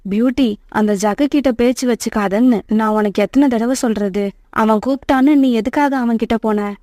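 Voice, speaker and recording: A woman's voice: a little high, fairly quick, mellow, no echo, close to an everyday voice. A woman voicing a caring, admonishing mother sparrow in a children's cartoon. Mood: scolding.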